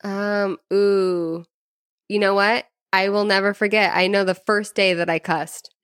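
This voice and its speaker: A woman's voice: mid-range voice, steady tone, unhurried, a bit bright, clear, resonant tone, dry recording. Woman chatting casually, close and dry. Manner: informal, peppers speech with "like". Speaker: podcast co-host. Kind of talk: casual chat, personal storytelling.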